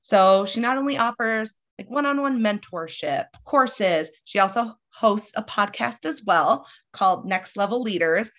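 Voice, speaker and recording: A woman's voice: mid-range voice, animated, a little slow, few pauses, fairly bright tone. A woman co-hosting a podcast interview, chatty and rambling, laughing often between her questions. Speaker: podcast co-host. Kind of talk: casual conversational interview. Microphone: video call.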